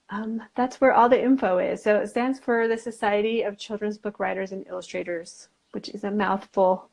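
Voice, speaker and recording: A woman's voice: medium pitch, vocal fry, darkish tone. An American woman in a casual chat, talking in a loose, rambling way and saying "like" often. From Utah, United States. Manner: chatty, rambling, uses "like" often. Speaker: guest, illustrator and writer.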